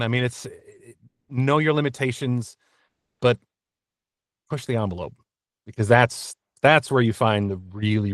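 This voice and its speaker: A man's voice: on the low side, no echo, studio quality. A man talking casually and earnestly as an interview guest and voice actor, often interrupting himself as he goes.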